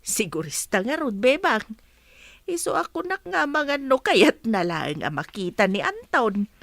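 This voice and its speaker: Woman acting a lively, gossipy household helper. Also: a little high, a little slow, gravelly, no echo, heavily acted. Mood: suspicious, gossiping. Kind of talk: Ilocano radio comedy drama acting.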